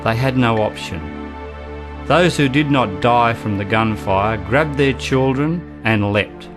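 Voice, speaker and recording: A man narrating a scripted historical radio drama in a grave, measured manner. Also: mid-range voice, over music.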